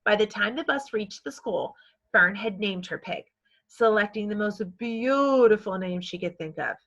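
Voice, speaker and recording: A woman reading a children's story aloud in a measured, scripted narrating style. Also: mid-range voice, animated, a bit bright, highs cut off. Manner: measured, acts out dialogue. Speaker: storybook reader.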